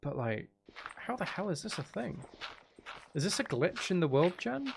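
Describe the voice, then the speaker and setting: A man's voice: sits high, sing-song, normal speed, vocal fry, background noise. A man giving casual, rambling gameplay commentary, thinking aloud as he plays. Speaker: gaming video creator playing Minecraft.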